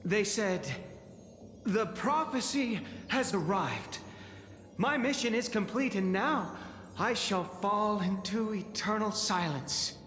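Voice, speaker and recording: An actor playing a newly appointed chaplain in a fantasy game, addressing citizens in grand, measured, ceremonial oratory. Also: male voice, sits high, expressive, fairly bright tone, exaggerated voice. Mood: solemn proclaiming. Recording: echoey hall reverb over game music.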